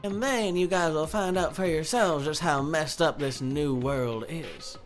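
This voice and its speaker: A woman's voice: low-pitched, a little slow. A woman voicing a mocking robot bear villain from a video game, theatrical and taunting, with music behind. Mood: ominous gloating. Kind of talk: live dubbing of visual novel dialogue.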